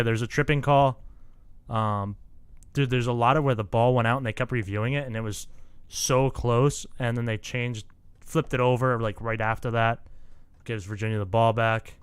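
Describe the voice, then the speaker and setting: A man's voice: mid-range voice, clean tone, no room sound. A young man co-hosting a sports podcast, rambling in casual chat and laughing often. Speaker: podcast co-host.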